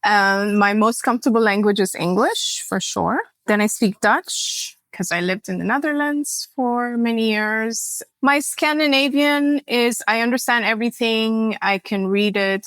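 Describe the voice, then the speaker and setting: A woman in her forties, born in Sudan with Sudanese Arabic as her first language, speaking as a guest in a relaxed, reflective interview, with many fillers and soft laughs. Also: mid-range voice, no echo. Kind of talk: casual interview conversation.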